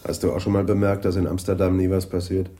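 An actor playing a middle-aged police detective in a radio play, with dry, wry delivery. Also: male voice, low voice, little pitch movement, fast talker, over music, close to an everyday voice. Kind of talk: radio drama acting.

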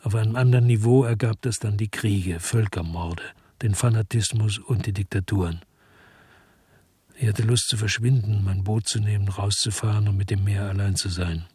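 Weary hard-boiled investigator, deep and husky. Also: male voice, steady tone, normal speed, darkish tone, dry recording. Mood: brooding, bitter. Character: weary, disgusted hard-boiled male investigator narrating his story. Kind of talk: German radio drama, first-person narration.

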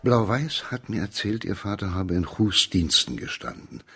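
A low-voiced, slightly rough judge from ancient China in a radio crime drama. He speaks formally and with authority, probing and commanding. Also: big pitch swings, moderate pace, pushed and bright, full character voice. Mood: urgent, commanding. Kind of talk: radio play acting.

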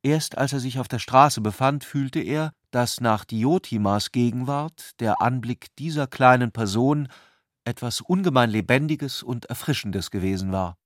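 A man reading literary prose as the narrator of a radio drama, in a scripted, measured literary style. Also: mid-range voice, dry recording, clean studio sound.

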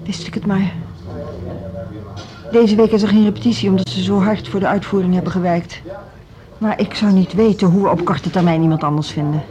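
An actress playing a gentle, courteous woman who runs a music school and is concerned for her staff, in a radio drama. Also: medium pitch, steady tone, a little fast, close to an everyday voice. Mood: concerned, searching. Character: Gerda, sympathetic adult woman running a music school. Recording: dull, lo-fi radio play recording.

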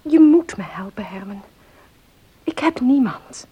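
Radio drama casting: troubled young woman, polite and hesitant, formal diction, husky, breathy voice. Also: on the high side, animated, unhurried, mellow, exaggerated voice, rough recording. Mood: troubled, pleading. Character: earnest, troubled young orphaned woman seeking help. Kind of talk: Dutch radio play acting.

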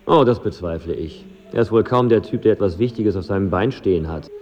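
An actor playing a gloomy, skeptical knight with a dry, formal delivery in a literary radio drama. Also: male voice, lowish voice, a little fast, lo-fi sound, background noise, natural voice.

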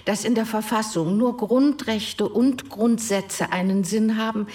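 A woman's voice: on the high side, measured pace, darkish tone, lightly acted. A woman playing a firm, principled postwar politician in a radio play, speaking formally and decidedly.

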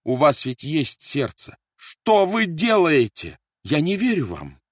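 A man's voice: fairly high pitch, wildly swooping pitch, slow pace, no echo, studio quality, pitched above the performer's own voice, heavily acted. Man acting a frantic, pleading young mother. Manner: frantic, imploring cries. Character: desperate young mother pleading for her baby. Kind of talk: dramatised audiobook dialogue.

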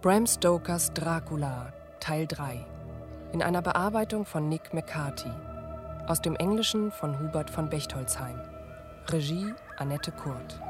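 A woman reading a scripted recap for a radio drama as its narrator, measured and formal. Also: deep voice, expressive, music in the background. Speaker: narrator and announcer. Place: studio.

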